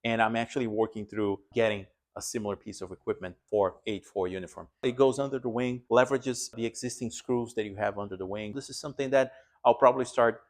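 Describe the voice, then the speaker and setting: A man's voice: lowish voice, fast, few pauses. A man hosting an aviation vlog, explaining his equipment in a casual, conversational way. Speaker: aviation vlog host and pilot. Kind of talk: casual explanatory gear walkthrough to camera.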